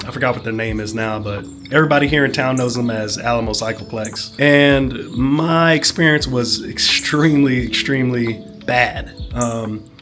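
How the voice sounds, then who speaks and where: A man, sick and a bit hoarse, telling a long rambling story in a casual vlog, full of "you know" and "whatnot". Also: mid-range voice, moderate pace, over music. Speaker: motorcycle vlogger.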